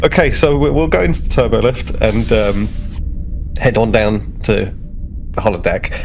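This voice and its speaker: A man's voice: on the low side, expressive, normal speed, vocal fry, music in the background. A man casually improvising narration of his character as a player in a tabletop role-playing game.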